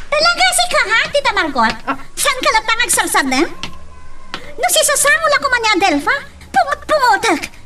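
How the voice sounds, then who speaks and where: A woman's voice: extremely high pitch, sing-song, normal speed. A woman playing a haughty, jealous, outspoken young woman in a radio drama. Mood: indignant, scornful. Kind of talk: Ilocano radio drama acting. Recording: noisy, clicky, distant-mic home recording.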